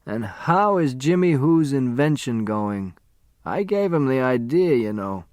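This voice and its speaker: A male narrator plays a dying man in his eighties from a storybook. His voice is faint and frail, at a middling pitch, and he asks things in a halting, wistful, gently teasing way. The sound is close and dry. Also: expressive, dry recording, natural voice. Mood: nostalgic, curious. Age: 80s. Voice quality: weak, faint.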